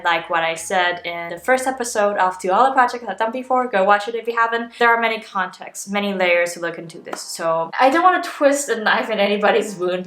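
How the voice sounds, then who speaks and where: A young woman from Taiwan, a native Mandarin speaker, talks to her camera in a loose, thoughtful way, often restarting her sentences and hedging with fillers. Her voice sits at an ordinary middle pitch. The room gives it a noticeable echo, and soft music plays faintly underneath. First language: Mandarin Chinese. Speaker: graduate student vlogger. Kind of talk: casual talk to camera.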